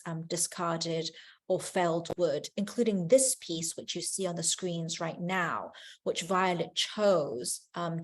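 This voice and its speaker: Low-pitched woman interpreting an artist talk. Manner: fluent, measured, with frequent ums. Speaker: moderator and interpreter. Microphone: video call.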